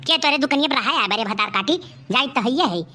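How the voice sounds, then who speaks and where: A woman's voice: high voice, lively intonation, fast, sharp tone, exaggerated voice. A woman voicing a huffy, haggling village housewife in a cartoon comedy, complaining in an Awadhi accent. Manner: complaining, bickering.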